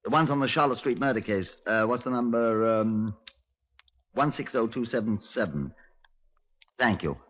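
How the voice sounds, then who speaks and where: An actor playing a dry, authoritative middle-aged Scotland Yard inspector with a British accent in a 1950s radio drama. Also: male voice, mid-range voice, normal speed, long pauses, fairly bright tone, close to an everyday voice. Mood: brisk, authoritative. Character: measured, wry, authoritative male Scotland Yard police inspector. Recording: dull, lo-fi 1950s radio recording.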